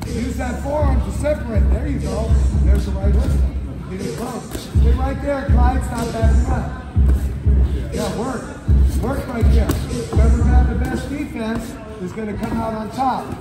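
Boxing coach, older man, very high voice, barking short commands in an echoey gym over loud music. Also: steady tone, a little slow, distant mic, over a noisy crowd. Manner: terse, repeated commands. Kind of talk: shouted corner instructions during sparring. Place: indoor boxing gym ring, music and gym crowd behind. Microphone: camera at a distance.

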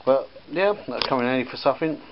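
A man's voice: mid-range voice, fast. Rambling man filming outdoors. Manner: rambling, with many ums and restarts. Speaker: vlogger showing auction finds. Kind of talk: casual chat. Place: outdoors in a garden and workshop. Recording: slightly dull camera audio with faint background noise. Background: faint outdoor ambience and church bells.

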